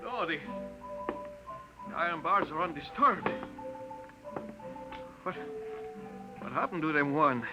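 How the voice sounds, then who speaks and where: A slow, deliberate hotel manager, lively high voice, old radio play. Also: male voice, over music. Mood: bewildered. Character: puzzled hotel manager, middle-aged man. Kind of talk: 1950s radio drama acting. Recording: dull lo-fi 1950 radio broadcast.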